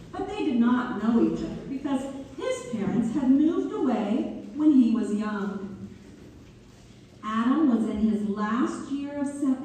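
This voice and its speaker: A woman acting an unhurried, tenderly devoted daughter, echoing. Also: on the high side, warm tone. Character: Mabel Wagnalls, devoted daughter and author, reminiscing tenderly. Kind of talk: community theater dramatic read-through. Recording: distant, very echoey hall, faint noise.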